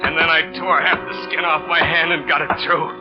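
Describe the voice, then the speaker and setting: A man acting out a vengeful, desperate schemer telling his story in hard-boiled 1940s radio suspense style. His voice sits fairly high for a man, and music runs under the dull old recording. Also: level tone, brisk, close to an everyday voice. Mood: desperate, tense. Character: scheming, vengeful male lover in a murder plot, bitter and desperate.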